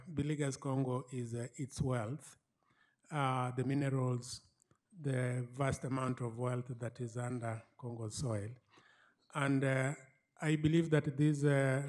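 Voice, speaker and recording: Student in the audience: man from Kenya, mellow tone, polite and hesitant. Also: mid-range voice. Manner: polite, hesitant with many fillers. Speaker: student, audience member asking a question. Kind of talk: audience question at a lecture. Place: lecture room, seated in the audience. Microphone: handheld microphone.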